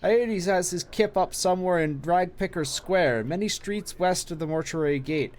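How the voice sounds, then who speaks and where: A man streaming a game, reading its dialogue aloud with casual asides, his voice a little hoarse from long talking. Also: sits high, moderate pace, a little mellow. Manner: reads long text passages aloud with brief asides.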